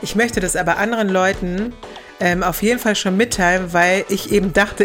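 A woman casually recalling her own experience in an interview, colloquial and self-reflective. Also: medium pitch. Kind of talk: casual personal recollection.

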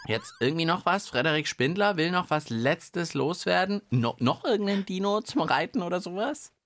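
A man co-hosting a children's science podcast in a playful, animated banter style. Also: sits high, normal speed. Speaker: podcast co-host.

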